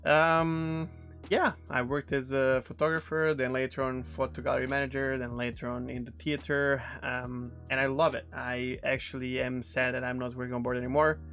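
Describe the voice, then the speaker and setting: A man's voice: mid-range voice, little pitch movement, moderate pace, fairly bright tone, soft background music. Serbian man in his thirties chatting. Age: 30s. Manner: rambling, friendly, many ums and restarts. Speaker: streamer reacting to a video. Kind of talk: casual reaction commentary.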